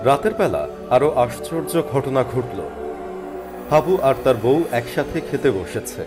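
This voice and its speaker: A man narrates a scripted horror story with drama. His mid-pitched voice rises and falls in a lively way. Music plays clearly behind him. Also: measured pace.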